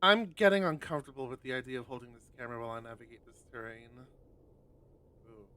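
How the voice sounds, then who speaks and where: Bright-voiced man hosting a game stream. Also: medium pitch, lively intonation, quick pace, clean tone. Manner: casual, self-deprecating, rambling asides. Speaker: streamer host. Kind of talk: live let's play, reading game text aloud.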